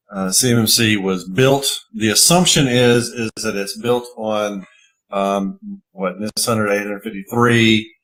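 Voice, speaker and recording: A man, a cybersecurity expert on a live panel, talking in a measured, halting way with frequent pauses. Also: on the low side, fairly bright tone, poor audio. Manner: measured, halting, many fillers. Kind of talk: thoughtful panel discussion.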